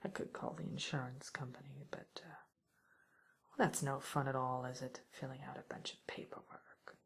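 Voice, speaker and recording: A woman playing a wry, teasing witch who scolds an intruder in an ASMR roleplay, speaking softly and often in a whisper. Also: very deep voice, fairly even intonation, moderate pace, exaggerated voice. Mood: mock-stern. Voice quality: soft, breathy, often whispered. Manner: teasing, musing, mock-stern, theatrical.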